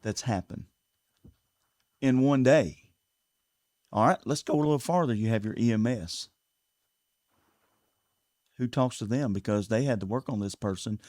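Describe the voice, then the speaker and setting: A man's voice: mid-range voice, measured pace, long pauses, a little mellow, no echo, studio quality. A man with a Southern US accent, running for sheriff, speaking earnestly in a casual interview and repeating words as he builds his points. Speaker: sheriff candidate. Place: local TV studio.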